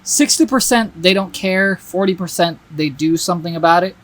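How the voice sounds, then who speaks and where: A man's voice: high-pitched, normal speed, a bit bright. A young man co-hosting a casual podcast chat, joking around, swearing freely and laughing often. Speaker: podcast co-host.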